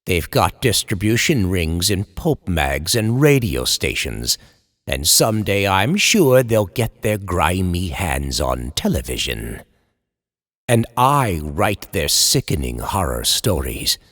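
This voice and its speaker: Drenched in long reverb, a man acts out a tormented horror writer confessing his slide into madness. He speaks slowly and eloquently, with theatrical swings of pitch, in the manner of an old time radio chiller. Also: very animated, fairly bright tone, heavily acted. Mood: bitter, disgusted. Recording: long reverberant hall echo.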